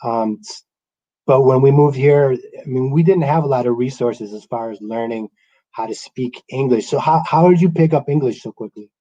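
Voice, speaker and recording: Male host from Guatemala, native Spanish speaker, warm low tone, hesitant, lo-fi recording. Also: moderate pace. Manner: relaxed, hesitant with stammered restarts. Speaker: radio host. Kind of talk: casual interview conversation.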